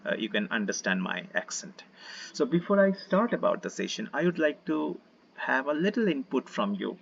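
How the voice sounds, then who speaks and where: A man with an Indian accent teaching a casual online session about peace and mindfulness, gentle and full of fillers. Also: medium pitch, normal speed, gravelly, muffled recording, a little hiss or hum. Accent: Indian English. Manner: relaxed, gentle, many fillers, invites listeners to join in. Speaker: meditation teacher.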